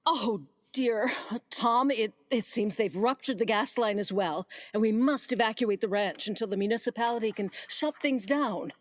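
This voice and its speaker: A woman's voice: fairly high pitch, normal speed, no echo, clean studio sound, natural voice. A woman acting a gracious, commanding, flirtatious vineyard owner with genteel, theatrical emphasis in a comedic radio play. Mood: flustered, commanding.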